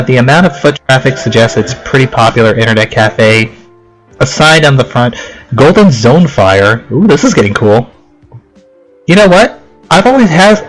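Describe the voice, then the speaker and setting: A man's voice: mid-range voice, low-quality recording. A young man giving casual, rambling let's play commentary, chatting and reading game text aloud.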